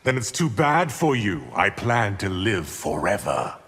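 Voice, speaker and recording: An actor playing an arrogant, domineering sorcerer in a fighting game, with a haughty, commanding manner. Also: male voice, lowish voice, sing-song, a little slow, very noisy. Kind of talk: fighting game character dialogue.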